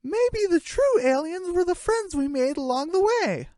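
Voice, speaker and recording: A little chicken with a very high, swooping voice put on by an adult performer. It is childlike, eager and cheeky, stretching its words in a homemade comedy radio play. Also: sing-song, measured pace, mellow, no room sound, studio quality, full character voice.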